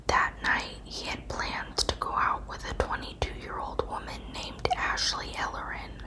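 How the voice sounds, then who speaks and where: A woman narrating a scripted true crime story as whispered ASMR, in a measured storytelling delivery. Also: rough recording.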